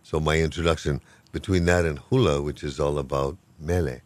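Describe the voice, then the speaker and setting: An older man with an extremely deep, low voice talks in a relaxed, rambling way. He is good-humored and self-deprecating, a professor chatting through an interview. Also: fairly quick, no echo. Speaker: professor of Hawaiian language. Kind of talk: casual interview talk.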